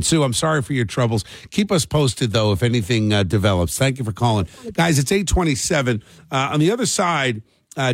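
A man's voice: medium pitch, no echo. A middle-aged American man hosting a morning radio show, chatting casually and often asking questions on a close studio microphone. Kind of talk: casual talk radio chat and call-in. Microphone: close studio broadcast microphone.

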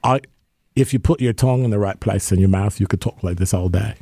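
A man's voice: fairly low pitch, a little fast, dark tone, no room sound, studio quality. A man co-hosting a radio talk show, easygoing and opinionated in casual banter. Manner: easygoing, opinionated, laughs often. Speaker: radio co-host. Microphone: studio microphone.

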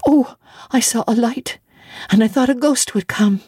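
A terrified little girl begs in a high, breathless voice, as a woman reading aloud puts her on, her tone husky and dark. The voice is dry and close, with no room sound. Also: unhurried, no echo. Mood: frightened. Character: frightened young girl, pleading and desperate.

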